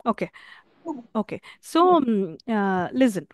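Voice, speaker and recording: A woman with an Indian English accent teaching an online class, halting with many fillers and asking questions. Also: medium pitch, big pitch swings, no echo, clean studio sound.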